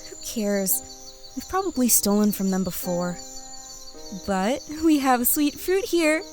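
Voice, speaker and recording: Woman acting young female thief, high swooping voice, pert, carefree, dismissive, fantasy audio drama. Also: big pitch swings, takes it slowly, a little mellow, faint music behind. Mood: gleeful, impatient.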